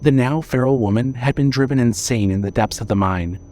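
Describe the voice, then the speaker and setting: A man's voice: medium pitch, a little fast, dark tone. A man reading scripted horror fiction as the narrator of an audio drama.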